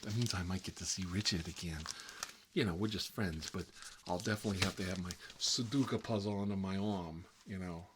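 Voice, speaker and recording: A low-pitched male voice plays a fussy, petty babysitter in an ASMR role-play. He rambles in a gossipy, petulant way, as if chatting to someone beside him. The recording has clear background noise with small clicks. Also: a little fast. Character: Marvin, fussy, petty grown man stuck babysitting.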